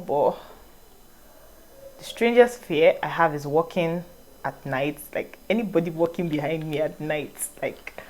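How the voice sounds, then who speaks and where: A woman's voice: low voice, low-quality recording. A young woman from Nigeria with a Nigerian accent, answering viewer questions in a casual vlog, reading each one aloud before she answers it. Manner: reads questions aloud, then answers in a relaxed, rambling way. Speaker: vlogger.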